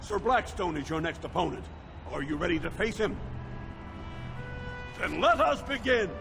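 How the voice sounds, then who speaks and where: An actor voicing a proud, formal dwarven proving master who announces in a grandiose, declamatory way for a fantasy game. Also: male voice, high-pitched, very animated, moderate pace, gravelly, exaggerated voice. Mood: respectful. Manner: grandiose, declamatory, deferential to nobility. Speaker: arena announcer. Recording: loud music behind the voice. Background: loud game music.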